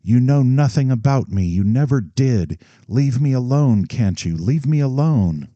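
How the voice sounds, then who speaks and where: A man's voice: mid-range voice, lively intonation, a little slow, warm tone, dry recording, dull audio, pitched above the performer's own voice, close to an everyday voice. A man reading an audiobook, voicing a defiant, nearly hysterical young woman on the eve of her wedding, her voice pleading and breaking.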